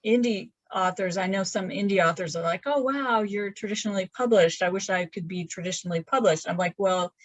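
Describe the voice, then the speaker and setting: A woman's voice: mid-range voice, a little fast, hardly any pauses, no echo. A woman in her fifties, a romance author, chatting casually in a video-call interview from her laundry room, rambling with many fillers and restarts. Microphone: webcam on a video call.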